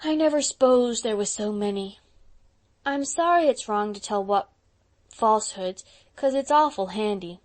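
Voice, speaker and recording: A woman's voice: medium pitch, expressive, unhurried, close to an everyday voice. A woman reading aloud and voicing a mischievous, curious six-year-old boy who pleads and sobs in a childish way. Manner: childish, plaintive, sobbing pleas.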